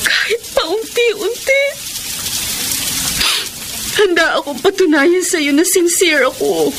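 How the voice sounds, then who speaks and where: A very high, earnest young woman's voice with a Filipino accent, pleading and sincere in radio soap style. Clear noise runs behind the emotional delivery. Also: expressive, slow pace, exaggerated voice. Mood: pleading, remorseful.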